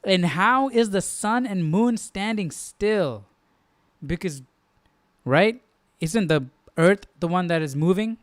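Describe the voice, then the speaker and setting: A man's voice: sits high, lively intonation, slow, dry recording. A man hosting a live Bible study, thinking aloud in a conversational, questioning way. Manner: conversational, questioning, repeats himself for emphasis.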